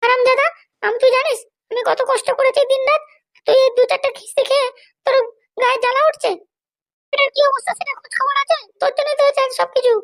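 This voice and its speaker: A tiny, extremely high cartoon voice plays a bossy, short-fused wife with political ambitions. She rattles along quickly, scolding and threatening in coarse comic dialogue. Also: flat delivery, fairly bright tone. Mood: furious, threatening. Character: hot-tempered, foul-mouthed wife running for election. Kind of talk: amateur comedy cartoon dialogue.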